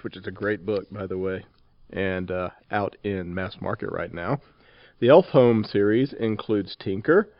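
Guy hosting an interview podcast, lowish voice, dry thin phone-line audio. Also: no echo. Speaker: podcast host.

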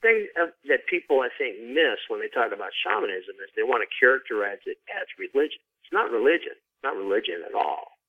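A man's voice: mid-range voice, sing-song, brisk, no echo, phone-quality sound. A man being interviewed as an author, explaining things casually and informally with frequent conversational asides.

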